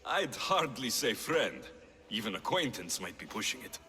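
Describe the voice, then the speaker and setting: An actor playing a young, skeptical Greek mercenary hero in a video game, wry and matter-of-fact. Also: male voice, fairly low pitch, sing-song, normal speed, sharp tone, soft background music. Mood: guarded. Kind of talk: video game dialogue acting.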